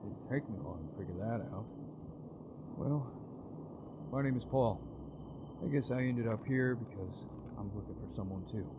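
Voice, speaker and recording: An actor playing a gruff, world-weary police officer with a dry, matter-of-fact manner in a video game. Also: male voice, medium pitch, moderate pace, pauses often, narrow, tinny audio, lightly acted. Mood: guarded, weary. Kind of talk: video game dialogue acting. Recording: noisy game audio with cricket ambience.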